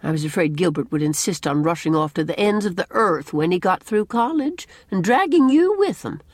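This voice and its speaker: A woman's voice: lowish voice, expressive, brisk, a little mellow, no echo, voice pushed up. A woman voicing a stern, opinionated older village matron in an audiobook, emphatic and scolding with old-fashioned country phrasing. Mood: authoritative. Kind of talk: audiobook character dialogue.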